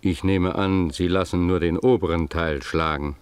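An actor as a solemn, deep-voiced pastor. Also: male voice, steady tone, smooth, dry recording, lightly acted. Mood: grave, warning. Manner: measured, solemn, formal. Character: grave, admonishing village pastor opposing the landowner. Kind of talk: German radio play acting.

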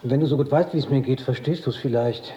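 A man's voice: medium pitch, quick pace, mellow, husky. A man playing an anxious, self-pitying hypochondriac office worker, earnest and hesitant, in a naturalistic radio drama. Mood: awkward, pleading. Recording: roomy, slightly dull sound.

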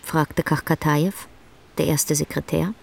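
A narrator with a gravelly, husky voice, telling a story in a measured way for a radio drama. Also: medium pitch, little pitch movement, full character voice.

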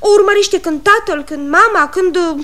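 A very high, girlish voice plays a young sweetheart in a comic radio play. She is coquettish and crafty, arch and expressive, putting on a prim air as she teases. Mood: arch, mock-prim. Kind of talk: comic radio theatre acting.

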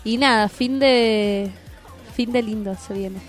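Woman co-hosting over soft music. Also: fairly high pitch, slow pace. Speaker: radio co-host. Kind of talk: casual live radio chat.

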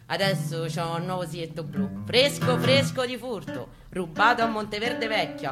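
A woman with a fairly low voice and a Roman accent performs a dramatic monologue over loud acoustic guitar. Her reading is colloquial and slangy, with an expressive, streetwise, irreverent delivery. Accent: Roman Italian. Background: live acoustic guitar accompaniment.

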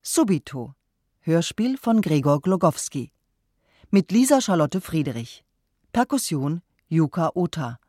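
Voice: medium pitch, dark tone, no echo, studio quality. An announcer reading scripted radio play credits item by item.

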